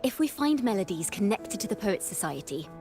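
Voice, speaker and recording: A woman's voice: mid-range voice, sing-song, brisk. A woman acting a spirited young female bard in a video game, poetic and theatrical in manner. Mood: earnest. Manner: theatrical, lyrical. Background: game music.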